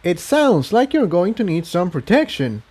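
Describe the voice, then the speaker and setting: A man's voice: high voice, sing-song, normal speed, a little mellow, voice pushed up. A man voicing a loyal, concerned female pony companion in a story reading. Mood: resolute.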